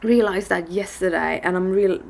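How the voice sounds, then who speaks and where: A woman's voice: steady tone, normal speed, vocal fry, darkish tone. A woman in her thirties with a bad cold, chatting casually and a bit tiredly to the camera from her living room at home. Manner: rambling, tired, low-energy talk with restarts. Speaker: daily vlogger. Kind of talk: casual vlog chat. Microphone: camera on a desk in front of her.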